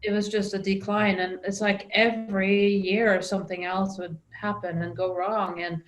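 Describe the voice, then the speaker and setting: A woman's voice: medium pitch, little pitch movement, normal speed, a little hiss or hum, rough recording. A middle-aged woman from Norway with a Norwegian accent, telling her story as an interview guest, with frequent ums and restarts. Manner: hesitant, many ums and restarts.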